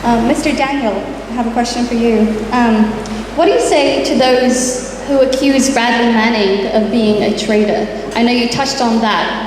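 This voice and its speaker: A woman from Iraq moderating a public panel, polite and hesitant, with many pauses. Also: fairly high pitch. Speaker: event moderator, organizer. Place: large hall at public panel event. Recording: distant, echoey, noisy hall.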